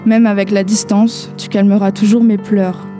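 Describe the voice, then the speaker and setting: Flat-toned woman reading over music. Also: medium pitch, moderate pace. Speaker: radio columnist. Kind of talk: scripted poetic monologue read aloud.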